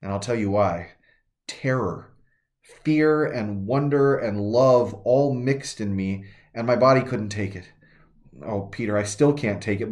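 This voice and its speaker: A fervent woman disciple pours out her shaking grief and wonder in an urgent, intimate confession, as a man reads her in the first person. Her voice sits at an ordinary middle pitch. It is a devotional reading told in character. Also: darkish tone, lightly acted. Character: shaken grieving woman disciple, fervent and awestruck.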